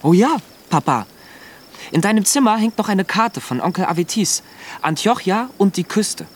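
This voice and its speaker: A man's voice: sits high, expressive, normal speed. A young male performer in a radio drama playing a polite, eager thirteen-year-old boy. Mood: eager, dutiful. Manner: dutiful, eager.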